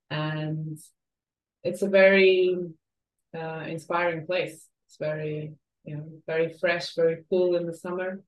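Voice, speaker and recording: A woman's voice: low voice, flat delivery, slow pace, poor audio. A woman from southern Portugal answering as a podcast guest in a reflective, hesitant, conversational way.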